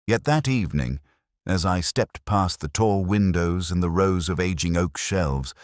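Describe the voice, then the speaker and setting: Really low voiced guy narrating a detective story, solemn and literary, pitch moves around a fair bit, dry close sound. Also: no echo. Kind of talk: scripted first-person detective story narration.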